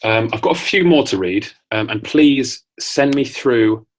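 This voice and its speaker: A man hosting a podcast, reading his own essays aloud and chatting offhand, his voice getting croaky. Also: medium pitch, expressive. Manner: measured reading that turns to offhand asides. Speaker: podcast host.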